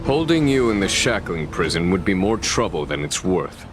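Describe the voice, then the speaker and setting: A man's voice: sits low, sing-song, normal speed, music in the background. A man voicing a composed, shrewd general in a video game, speaking formally with dry authority. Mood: stern, measured.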